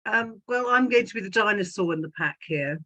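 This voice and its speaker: An older woman speaking as a panellist, in deliberate, emphatic and measured phrases. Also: mid-range voice, expressive, bright, forceful tone. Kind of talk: thoughtful panel discussion.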